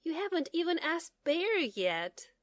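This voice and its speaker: A woman's voice: very high-pitched, sing-song, a little slow, no room sound, studio quality, pitched above the performer's own voice, full character voice. Woman reading as a practical mother turtle. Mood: amused, practical. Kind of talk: children's storybook character voice.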